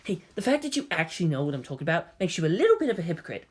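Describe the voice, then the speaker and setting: A man's voice: fairly high pitch, big pitch swings, rapid-fire. A man voicing an exasperated, wisecracking younger male agent in a story reading, casual and complaining. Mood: wry banter.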